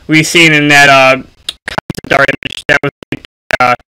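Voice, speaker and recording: A man giving casual, unscripted gaming commentary for a video, speaking haltingly with frequent ums and pauses. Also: on the high side, little pitch movement, pushed and bright, slight background noise, poor audio.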